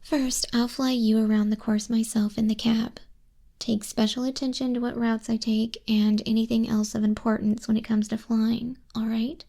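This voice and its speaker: Soft, mellow woman as a haughty griffin instructor. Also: a little high, little pitch movement, moderate pace, creaky. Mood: brisk, instructive. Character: grumpy female griffin flying instructor, stern but softening. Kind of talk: soft-spoken ASMR roleplay. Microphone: close binaural microphone.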